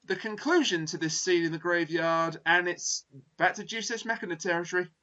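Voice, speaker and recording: High bright guy hosting a review podcast, wordy, dry jokes, dull lo-fi call audio. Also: fairly quick. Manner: wordy, self-correcting, dry humor. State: tired, late at night. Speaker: podcast host. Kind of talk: casual review discussion.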